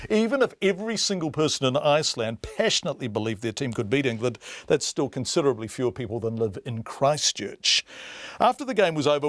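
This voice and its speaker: A man from New Zealand hosting a radio current affairs show, chatty and quick to laugh. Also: mid-range voice, no echo. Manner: lively, chatty, laughs easily. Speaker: radio news host.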